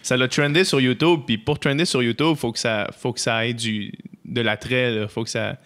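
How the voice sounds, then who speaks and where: A man's voice: mid-range voice, fairly even intonation, normal speed, fairly bright tone. A man with a Québécois French accent hosting a casual podcast, asking questions and finishing his guest's sentences. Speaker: podcast host. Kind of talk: casual chat.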